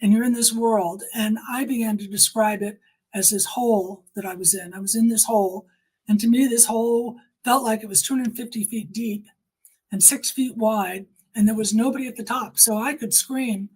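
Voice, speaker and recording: A woman's voice: a little high, steady tone, fairly quick, a little mellow, slightly rough, poor audio. A woman giving personal testimony in an interview, speaking emphatically and repeating phrases to drive points home.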